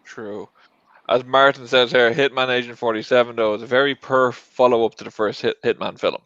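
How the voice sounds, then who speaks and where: Male host, mid-pitched, casual panel chat about movies, lo-fi call audio. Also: steady tone, fairly quick, fairly bright tone, no room sound. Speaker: show host.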